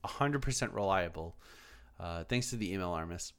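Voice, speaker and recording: A man's voice: low voice, lively intonation, moderate pace, a bit bright, clean tone. A man hosting a podcast, chatting casually and reading listener emails, with lots of fillers, restarts and the odd swear word. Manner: rambling, many fillers and restarts. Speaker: podcast host.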